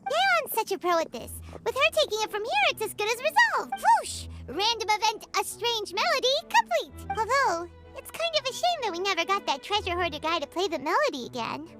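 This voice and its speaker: A woman voicing a tiny, cheerful, cheeky childlike fairy companion in a video game, squeaky and excitable. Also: a little fast, few pauses. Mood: chirpy, curious. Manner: excitable, refers to self in third person. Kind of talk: animated video game character acting. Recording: game music behind the voice.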